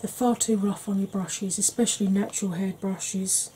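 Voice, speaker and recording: A woman in her forties with a Welsh-tinged northern English accent, talking slowly and softly with wry humour while doing her makeup. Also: mid-range voice, fairly even intonation, slight background noise, rough recording. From Wales and Yorkshire. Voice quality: soft-spoken, slow, wry. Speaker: makeup vlogger. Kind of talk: soft-spoken makeup tutorial chat.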